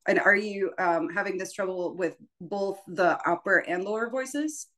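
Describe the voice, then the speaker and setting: A woman's voice: mid-range voice, moderate pace, a bit bright. A woman giving informal spoken instruction as a voice teacher, tentative and self-deprecating, often trailing off. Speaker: voice teacher leading a workshop.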